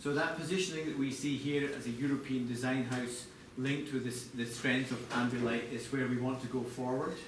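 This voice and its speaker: A man giving an explanatory product design presentation to a live audience as a chief designer, speaking in measured, slightly hesitant phrases. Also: mid-range voice, steady tone. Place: large echoey indoor presentation space. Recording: echoey room, distant mic, background noise.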